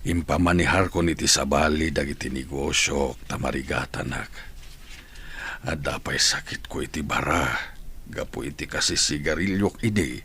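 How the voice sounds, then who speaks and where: A man's voice: rumbling low, moderate pace, sharp tone, a little hiss or hum, heavily acted. A man playing an ailing, lonely, tender old grandfather in an Ilocano radio drama, with an Ilocano accent and a weary, emotional delivery. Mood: weary, gentle.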